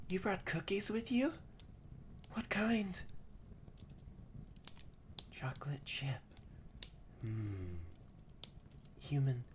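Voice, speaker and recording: A haughty tsundere catboy acted out by a young performer in an intimate audio roleplay, pompous one moment and shyly hesitant the next. He talks slowly with very lively pitch, often dropping to a breathy murmur, over clear background noise. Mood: haughty, eager. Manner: pompous, flustered, hesitant stammering, often drops to breathy near-whispers. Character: haughty tsundere catboy, grumpy yet soft and shy.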